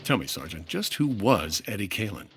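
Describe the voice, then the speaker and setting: An actor playing a dry, no-nonsense insurance investigator in an old-time radio detective drama, clipped, wry and deadpan. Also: male voice, on the low side, normal speed, faint music behind. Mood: probing.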